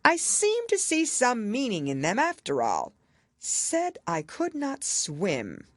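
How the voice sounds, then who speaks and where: A woman's voice: on the high side, wildly swooping pitch, slow pace, no echo, studio quality, pitched above the performer's own voice, exaggerated voice. A woman voicing a pompous, muddled storybook king who is very pleased with himself, speaking emphatically. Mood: musing. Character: pompous, muddled, self-satisfied storybook king acting as judge.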